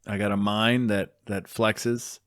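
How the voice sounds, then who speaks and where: A man with a lowish voice co-hosts a podcast in loose, casual chat. He swings between boastful jokes and earnest, reflective musing. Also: flat delivery, takes it slowly, a bit bright. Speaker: podcast co-host.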